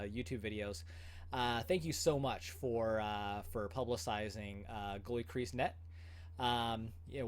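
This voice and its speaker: A man in his thirties hosting a casual livestream from home, chatting with many fillers and an upbeat tone. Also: medium pitch. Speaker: goalie channel host.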